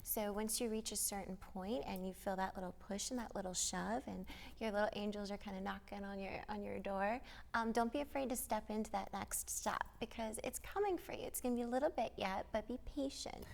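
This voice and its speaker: A woman speaking as a guest psychic, giving a conversational spiritual reading in a gentle, encouraging, earnest manner. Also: mid-range voice, fairly even intonation, fairly quick, creaky, a little hiss or hum.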